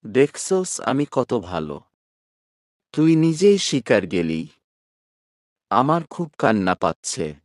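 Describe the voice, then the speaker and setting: A man's voice: medium pitch, very animated, slow pace, long pauses, no echo, clean studio sound. A man voicing a teasing, mock-sentimental young male student, a playful joker in a storybook reading.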